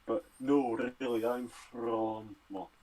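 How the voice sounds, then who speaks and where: A man's voice: mid-range voice, fairly even intonation, slow, mellow. A Scottish man who studies medicine, casually answering health and fitness questions on a livestream Q&A. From Scotland.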